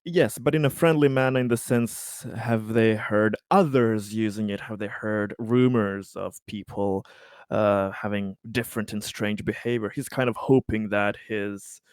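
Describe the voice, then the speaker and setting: A man's voice: lowish voice, steady tone, measured pace, clear, resonant tone, no room sound, clean studio sound. A man playing a tabletop game, casually explaining what his character is doing.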